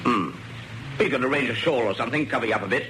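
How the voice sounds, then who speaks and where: An actor plays an eccentric British intelligence Major in a comic radio play. He fires off orders quickly, breezy, clipped and overbearing. The recording is roomy, with clear hiss. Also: mid-range voice, pushed and bright, natural voice. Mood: bossy, impatient. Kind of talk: comic radio drama acting. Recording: echoey, hissy radio drama recording.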